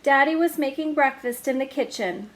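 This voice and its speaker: A woman storyteller reading a children's picture book aloud in a slow, deliberate way. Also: sits high.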